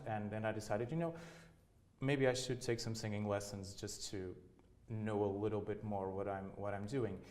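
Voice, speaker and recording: Portuguese man, thirties, lowish voice, halting and repetitive in interview. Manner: hesitant, many restarts and repeated words. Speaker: opera singer, interviewee. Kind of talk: casual interview answers.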